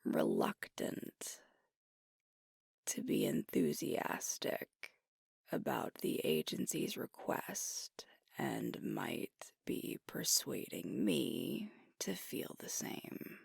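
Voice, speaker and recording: ASMR roleplay: actress as female alpha werewolf leader, troubled and conscientious, very low hushed voice, slow and deliberate. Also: huge pitch swings, no echo, clean studio sound, heavily acted. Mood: troubled, frustrated. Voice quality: breathy, whispery. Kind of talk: ASMR roleplay monologue, whispered and intimate. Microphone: close microphone.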